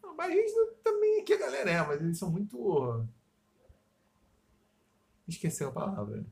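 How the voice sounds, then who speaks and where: A man's voice: sits high, huge pitch swings, moderate pace, creaky, darkish tone. A man in casual podcast chat, rambling, swearing freely, trailing off and restarting. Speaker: podcast co-host.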